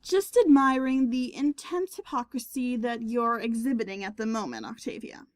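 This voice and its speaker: A woman's voice: fairly high pitch, lively intonation, moderate pace, dry recording, lightly acted. A woman acting a smug, mischievous unicorn pony in a fan audio drama, knowing and mocking. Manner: knowing, mocking, self-assured.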